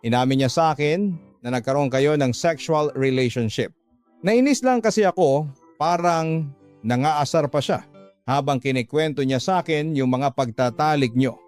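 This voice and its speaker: A man's voice: a little high, normal speed, darkish tone, close to an everyday voice. Man acting a frank, earnest older brother over music. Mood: hurt, protective. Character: protective older brother, young working-class male exotic dancer, frank.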